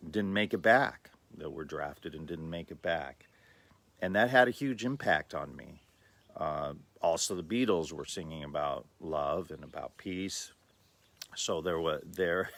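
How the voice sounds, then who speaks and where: A man in his sixties from the Los Angeles area, giving a slow, thoughtful personal talk with many pauses and fillers. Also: rumbling low, creaky. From Los Angeles area, USA. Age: 60s. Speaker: livestream host.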